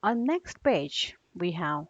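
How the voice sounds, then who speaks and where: A woman's voice: on the low side, very animated, takes it slowly. A woman teacher giving a read-along lesson for young children, explaining in a didactic way and checking understanding with short questions. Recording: dull, echoey room recording.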